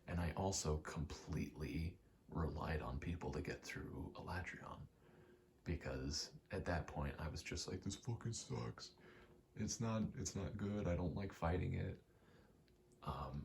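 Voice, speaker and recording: Slow, low, gravelly man in a soft ASMR ramble. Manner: meandering, self-correcting, casually sweary. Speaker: video maker rambling over gameplay. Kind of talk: ASMR ramble, casual chat. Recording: faint background noise.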